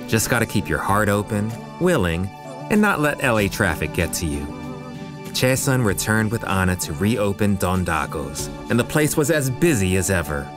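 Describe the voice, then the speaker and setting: A man acting as the playful, sentimental narrator of a romantic comedy audio drama, a storyteller in love with love. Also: on the low side. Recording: music behind the voice.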